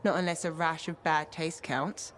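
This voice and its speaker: An actress playing a bookish, opinionated and dry-witted code-breaker in a video game. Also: female voice, low-pitched, steady tone, moderate pace, slight background noise, natural voice. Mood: opinionated, playful. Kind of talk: video game dialogue acting.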